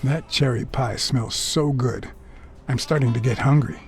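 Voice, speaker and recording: A hard-boiled male private eye, played by an actor in a noir video game, with prominent music behind him. He is a brooding cat detective with a wry streak, measured and terse at a middling pitch. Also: a little mellow, lightly acted. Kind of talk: film noir narration and game dialogue.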